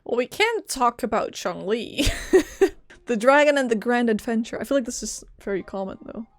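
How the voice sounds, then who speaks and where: Female game streamer: fairly high voice, dry close mic, casual giggly reactions, faint music behind. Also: animated, normal speed, dry recording. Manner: giggly, self-talking, reactive.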